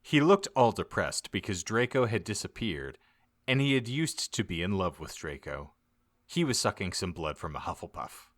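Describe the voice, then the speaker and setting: A man reading a story aloud as a podcast host, in a theatrical, deliberate comic audiobook style. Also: sits low, big pitch swings, fairly bright tone, no room sound. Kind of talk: dramatic comic audiobook narration.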